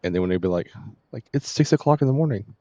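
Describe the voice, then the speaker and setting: A man's voice: fairly low pitch, animated, fast talker, dark tone, no echo. A middle-aged man live streaming a game, chatting casually in a rambling, easygoing way. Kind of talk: casual chat while gaming. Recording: dull, slightly muffled stream audio. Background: faint game music.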